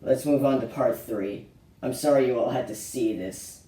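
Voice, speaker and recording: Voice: medium pitch, moderate pace. A person presenting a homemade puppet show as host, with a theatrical, exclaiming storyteller manner. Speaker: host showing a puppet collection. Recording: roomy echo with faint noise.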